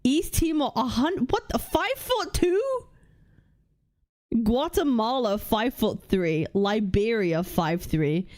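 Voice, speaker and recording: A woman's voice: on the high side, expressive, slow, darkish tone. Brash, swearing young woman streamer. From United Kingdom. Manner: blunt, swears freely, thinks aloud while reading lists. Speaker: livestream host. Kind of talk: casual livestream chat reading chat aloud.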